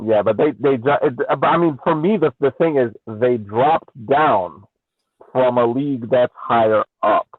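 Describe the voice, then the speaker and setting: A man giving casual sports analysis as a podcast co-host, speaking haltingly and repeating words as he searches for them. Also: medium pitch, dry recording. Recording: tinny, phone-like narrowband call audio.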